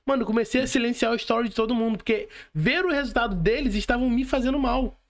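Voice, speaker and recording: A Brazilian man with a notably high voice hosts a podcast. He speaks casually and agreeably, with everyday slang. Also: quick pace. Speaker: podcast host.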